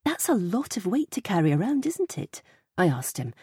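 A woman playing an earnest, socially awkward office worker in an audiobook, with articulated, deliberately paced speech. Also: medium pitch, big pitch swings, darkish tone, breathy edge, no echo, clean studio sound. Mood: earnest, frank. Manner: articulated, studied pacing, earnest. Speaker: audiobook narrator. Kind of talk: first-person audiobook narration.

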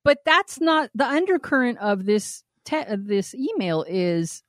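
A woman's voice: on the high side, big pitch swings, unhurried, dry recording, studio quality. A woman co-hosting a podcast, reading a listener's email aloud and giving blunt, frank advice with frequent laughter and swearing. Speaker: podcast co-host.

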